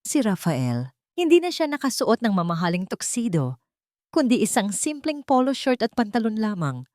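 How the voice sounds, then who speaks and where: A woman narrating a scripted drama in the first person, with deliberate, dramatic short phrases. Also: a little high, sing-song, darkish tone, dry recording, clean studio sound. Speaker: story narrator.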